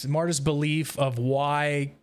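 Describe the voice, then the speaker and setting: A man's voice: on the high side, level tone, slow pace, clean tone. A man hosting a roundtable, talking casually through discussion points with fellow fans. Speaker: podcast host leading a roundtable.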